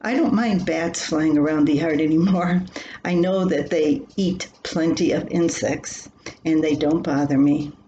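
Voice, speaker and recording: A woman's voice: low voice, unhurried, mellow, lo-fi sound. An older woman reading her own humorous personal column aloud, with a wry, dramatic storytelling manner. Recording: roomy echo with clear background noise.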